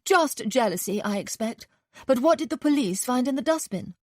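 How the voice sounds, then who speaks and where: A woman reading an audiobook, voicing a cordial, airy, well-spoken gentleman. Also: high-pitched, animated, normal speed, dry recording, studio quality, voice pushed up. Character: cordial, breezy upper-class gentleman.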